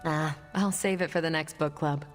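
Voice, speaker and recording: A woman's voice: low-pitched, steady tone, moderate pace, lightly acted. A woman playing a confident, wry superhero teammate in video game cutscene dialogue. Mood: amused, wry. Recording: long reverb, music underneath.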